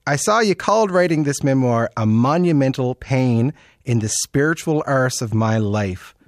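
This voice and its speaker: A man hosting an interview show in a conversational style with informal asides, his voice slightly croaky as if he is losing it. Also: mid-range voice, animated, moderate pace, dry recording, studio quality. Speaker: podcast and radio show host. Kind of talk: conversational scripted introduction and interviewing.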